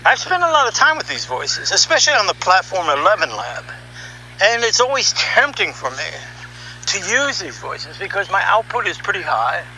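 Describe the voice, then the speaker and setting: A man giving an opinionated, conversational monologue as a podcast host, sardonic and animated, throwing out rhetorical questions. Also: high voice, normal speed, slight background noise, rough recording. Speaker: podcast host and audio artist. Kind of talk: opinionated monologue, reading article excerpts aloud.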